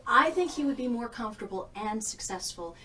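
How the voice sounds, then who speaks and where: A woman's voice: on the high side, lively intonation, fairly quick, sharp tone. An actress playing a mother in a training video, politely asking a principal about her son's testing, recorded from a distance with faint room noise. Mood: earnest. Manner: polite, earnest questions. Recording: distant mic with faint ambience.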